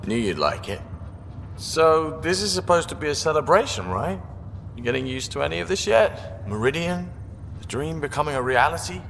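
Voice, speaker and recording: A man's voice: fairly high pitch, animated, moderate pace, creaky, a bit bright. An actor playing a cheerful, cocky male spaceship crewman in a video game, casual and joking. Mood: playful, scheming. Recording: long reverb, faint ambient noise.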